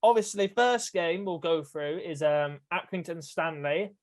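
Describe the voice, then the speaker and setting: A young man with a slightly high voice hosts a relaxed fan chat over a video call. He rambles through his points and laughs easily. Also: unhurried. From England. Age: young adult. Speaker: host of a fan podcast.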